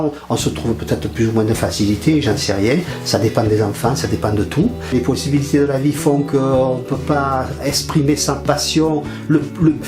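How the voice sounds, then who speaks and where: An older man from France, a painter talking casually in his studio, hesitant and often repeating his words. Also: mid-range voice. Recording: roomy studio with music over it. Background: guitar music.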